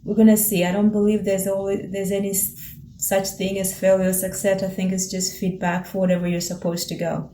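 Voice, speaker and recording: A Tanzanian-born woman whose first language is Swahili talks through her reflections in a calm, mellow voice, pausing now and then to find her words. Her tone is gently encouraging. The room is noticeably echoey, with a faint ambient hiss underneath. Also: medium pitch. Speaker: vlogger. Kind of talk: reflective personal talk to camera. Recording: roomy echo with faint ambient noise.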